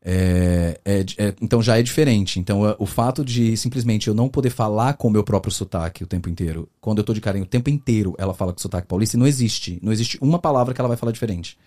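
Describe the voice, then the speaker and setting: A man from the interior of São Paulo state, with that region's accent, chatting as a guest on a podcast. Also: on the low side. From Brazil, interior of São Paulo state. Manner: fast, animated storytelling with many fillers. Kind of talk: casual interview chat.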